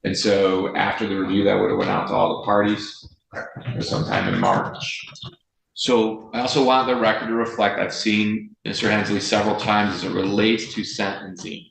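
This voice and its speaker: A man with an American accent presiding as a judge, speaking formally for the record in a deliberate, firm and measured way. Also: on the low side, creaky, fairly bright tone. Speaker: judge presiding over a court hearing. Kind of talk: formal courtroom speech on the record. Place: indoor courtroom. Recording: roomy echo, faint noise, courtroom audio.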